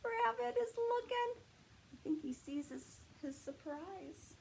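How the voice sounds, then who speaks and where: A woman hosting a story time for small children, talking to young viewers in an animated, sing-song way. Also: very high voice, slow pace, gravelly, a little hiss or hum, rough recording. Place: indoors in a library.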